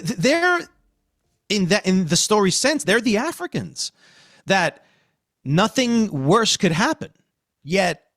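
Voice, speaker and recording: High-voiced, animated man from New York. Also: breathy edge, dry recording, clean studio sound. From Queens, New York. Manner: emphatic, slangy, street-casual. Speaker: podcast host. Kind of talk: casual podcast discussion.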